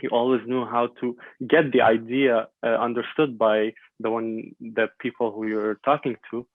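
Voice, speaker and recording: A man in his twenties from Syria, an Arabic speaker talking in English, answers in a halting, thoughtful way. His pitch barely moves. The sound is thin and phone-like, with no room echo at all. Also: level tone. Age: 20s. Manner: thoughtful, halting, many fillers and repeats. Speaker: guest. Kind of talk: podcast interview. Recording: dry tinny phone-like line.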